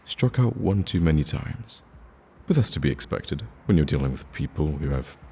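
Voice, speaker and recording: Man playing a very deep gravelly flirtatious villain. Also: expressive, moderate pace, mellow, slight background noise. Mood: amused teasing. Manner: drawling, teasing, casual and conversational. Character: smug flirtatious male villain wooing the listener, cocky and teasing. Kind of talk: ASMR roleplay acting.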